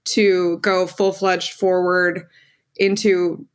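A woman's voice: on the low side, level tone, extremely slow, smooth. Young woman lawyer in her 20s, first language armenian, candid and earnest, lots of ums. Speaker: guest lawyer. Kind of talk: casual interview answers.

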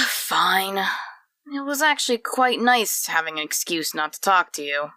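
A woman's voice: mid-range voice, expressive, measured pace, bright, forceful tone, no echo. A woman voicing a small, grumpy, bossy plant creature with a snippy manner in a comedic fantasy audio drama. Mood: grudging. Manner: snippy, impatient, boastful.

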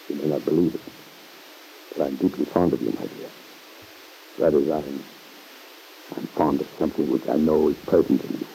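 Deep, dark-voiced fatherly uncle. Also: slight background noise, poor audio. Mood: earnest, fond. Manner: measured, earnest, formal phrasing. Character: earnest, fatherly uncle, gravely reproving his niece. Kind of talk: old-time radio drama acting. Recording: vintage radio recording.

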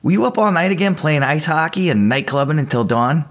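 An actor playing an earnest, awkward young college student in an audio drama, casual and hesitant in manner. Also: male voice, on the high side, expressive, brisk. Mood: affectionate, teasing. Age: young adult.

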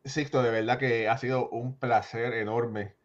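A man's voice: medium pitch, steady tone, measured pace, dull audio, a crowd in the background. A man hosting a casual sports interview, effusive and often restarting his sentences. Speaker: podcast host.

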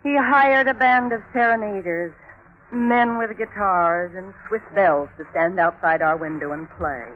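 A high-pitched, melodramatic long-suffering spouse. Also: male voice, a little slow. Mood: woeful recounting. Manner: sighing, clipped, dramatic phrases. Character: exasperated, melodramatic spouse of a bumbling criminal. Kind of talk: old-time radio drama acting. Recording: tinny, hissy vintage radio recording.

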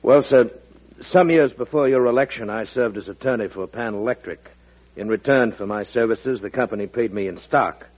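An actor playing a formal, apologetic attorney general in an old-time radio drama, earnest and contrite. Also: male voice, medium pitch, moderate pace, close to an everyday voice. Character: contrite, formal government attorney general confessing to his chief. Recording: muffled vintage radio broadcast recording.

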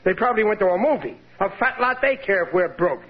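A man's voice: high-pitched, lively intonation, quick pace. An actor playing a stubborn, indignant middle-aged American working man in an old radio comedy, grumbling and sputtering. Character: blustery, broke, stubborn middle-aged working-class husband. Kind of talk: 1940s-50s American radio comedy acting. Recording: dull, noisy vintage radio recording.

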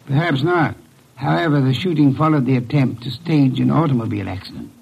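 An actor playing an imperious, grumpy, erudite detective boss with a gravelly voice and formal, precise, curt speech, in an old-time radio drama. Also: male voice, medium pitch, a little fast, darkish tone. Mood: measured, probing. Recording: dull old radio recording.

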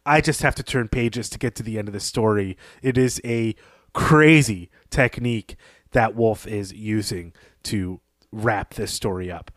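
A man's voice: on the low side, measured pace, no room sound, clean studio sound. A man co-hosting a podcast, analysing literature in a thoughtful, informal conversational style. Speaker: podcast co-host.

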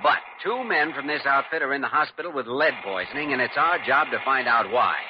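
An actor playing an earnest, determined young hospital doctor in an old-time radio drama. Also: male voice, mid-range voice, animated, fairly quick, background noise, lightly acted. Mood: firm, determined. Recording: dull vintage radio recording.

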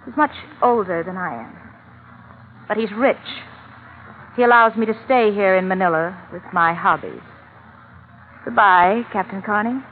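A woman's voice: mid-range voice, a little slow, pauses often, natural voice. A woman playing a coquettish, dreamy, evasive married lover in 1940s radio melodrama style. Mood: airy, evasive. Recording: narrow old radio recording, faint noise.

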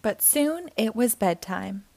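A woman hosting a storytime, reading a children's picture book aloud in an expressive, theatrical way. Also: on the high side, slow, vocal fry, no echo. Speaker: storytime host and reader.

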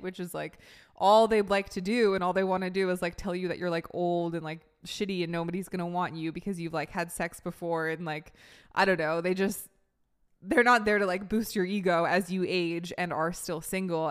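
A woman's voice: medium pitch, fairly bright tone, smooth. A woman about to turn thirty-one, talking candidly in a casual podcast monologue, full of "like" and casual swearing. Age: 30s. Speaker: podcast host.

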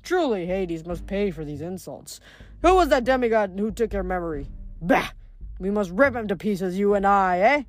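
A woman's voice: mid-range voice, expressive, moderate pace, soft background music, natural voice. A woman voicing a jovial but menacing male Titan giant, boastful and theatrical, in a storybook reading. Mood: scornful, grandiose. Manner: theatrical, grandiose, hearty.

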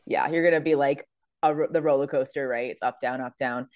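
A woman hosting a casual sports podcast, her voice hoarse and raspy from screaming, chatty with many fillers and laughs. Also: extremely low pitch, fairly even intonation, brisk, a little mellow, no room sound, clean studio sound. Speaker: podcast host. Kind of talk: casual chat.

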